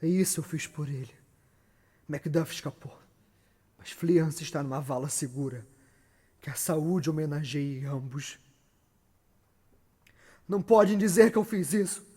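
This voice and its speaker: A man's voice: a little high, husky. An actor playing a brooding, guilt-haunted murderous king in a solemn, declamatory theatrical monologue. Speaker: stage actor. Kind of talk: dramatic theatrical monologue of Shakespeare. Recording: long hall reverb under loud music.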